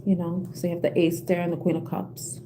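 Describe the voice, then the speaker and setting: Soft, mellow-voiced woman tarot reader. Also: on the low side, flat delivery, fairly quick, a little hiss or hum, low-quality recording. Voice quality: soothing. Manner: gentle, rambling, many fillers and restarts. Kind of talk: collective tarot reading.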